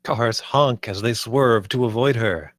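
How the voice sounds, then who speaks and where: A man's voice: mid-range voice, unhurried, no room sound. A man reading stage directions as the narrator of a script table read.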